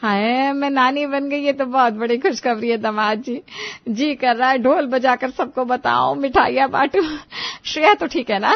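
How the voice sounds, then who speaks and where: Woman acting a bossy, doting mother-in-law, higher-pitched. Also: normal speed, muffled recording. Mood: delighted. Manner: scolding, exclamatory, commanding. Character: bossy, doting middle-aged Indian mother-in-law and grandmother. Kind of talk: Hindi family moral story dramatization.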